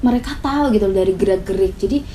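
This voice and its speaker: A young woman with a soft, mellow tone. She chats casually as an interview guest, stressing points with repeated words. Some noise sits behind her voice. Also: mid-range voice, racing. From Semarang, Java, Indonesia. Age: 20s. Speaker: interview guest, student radio announcer.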